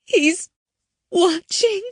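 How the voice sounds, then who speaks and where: A terrified young girl, her voice pitched high and cracking with panic as she fights back tears, acted by a woman reading aloud. It is a dry, close recording with no room sound. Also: measured pace, dry recording, natural voice. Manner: tearful, panicky, breaking voice. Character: frightened young girl, kidnapped and crying.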